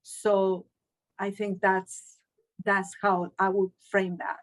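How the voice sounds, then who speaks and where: A middle-aged woman from Chile, a Spanish teacher answering interview questions in a measured, earnest way with frequent restarts. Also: medium pitch, level tone, low-quality recording.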